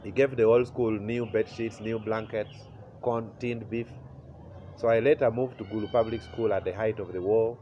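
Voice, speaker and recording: Unhurried Ugandan man in his forties. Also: lowish voice, steady tone, mellow. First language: Acholi. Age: 40s. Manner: unhurried, pausing with "uh", chuckling at memories. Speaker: interview guest. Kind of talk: relaxed life-story telling. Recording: slightly muffled with faint noise.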